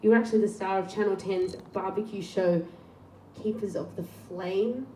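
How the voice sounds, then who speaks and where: A bubbly woman in her thirties from Tasmania hosts with playful teasing and frequent laughter. Her mellow voice sounds distant in a roomy hall, with faint noise behind it. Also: medium pitch, steady tone, normal speed. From Tasmania, Australia. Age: 30s. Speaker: host, songwriter. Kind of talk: playful live interview hosting. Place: community venue before an audience.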